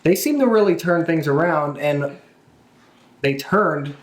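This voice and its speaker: A man hosts a casual fan podcast in a fairly high-set male voice. He talks conversationally, like someone chatting with friends. The room adds a clear echo around his words. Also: expressive, unhurried. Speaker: podcast host. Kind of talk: casual wrestling podcast chat.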